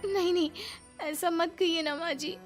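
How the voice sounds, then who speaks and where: A woman voicing a gentle, deferential young daughter-in-law in a cartoon moral story. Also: very high voice, steady tone, normal speed, voice pushed up. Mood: apologetic. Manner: deferential, soft-hearted. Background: faint music.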